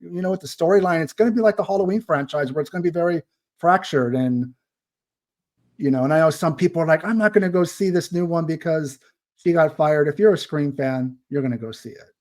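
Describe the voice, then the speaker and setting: A man's voice: a little high, a little fast, a little mellow. A man hosting a casual interview, chatty and opinionated, with rambling asides. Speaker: podcast host.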